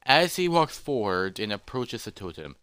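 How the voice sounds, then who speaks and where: A man narrating an adventure story in a loose, improvised way, halting and correcting himself as he goes. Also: on the low side, sing-song, a bit bright, smooth, no room sound.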